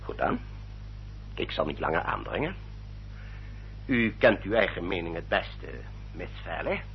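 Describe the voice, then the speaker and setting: An actor playing a courteous English family solicitor in a radio drama, speaking in a formal, measured, explanatory manner. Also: male voice, low-pitched, big pitch swings, slight background noise, exaggerated voice. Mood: politely pressing. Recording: dull, muffled old radio recording.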